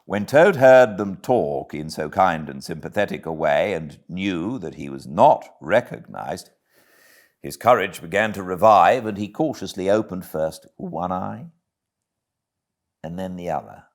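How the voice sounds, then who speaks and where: A man's voice: deep voice, animated, a little slow. A man with a British accent reading a classic story aloud as an audiobook narrator, dramatic and theatrical. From Britain.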